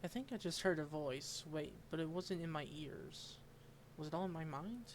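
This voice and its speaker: Low-voiced woman commenting on a game. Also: moderate pace, slight background noise. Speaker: gaming streamer. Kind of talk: casual gameplay commentary, reading game text aloud.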